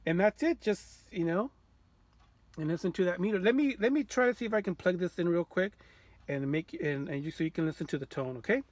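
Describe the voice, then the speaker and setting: Higher-voiced man giving a casual tutorial. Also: lively intonation, a little fast. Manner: informal, repeats phrases as he explains. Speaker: radio repair hobbyist demonstrating.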